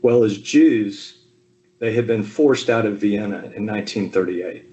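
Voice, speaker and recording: A man in his fifties from the American South, giving a relaxed personal talk over a video call with frequent ums and asides. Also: on the low side, unhurried, highs cut off. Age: 50s. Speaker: speaker at a Zoom talk. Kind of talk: personal family history talk.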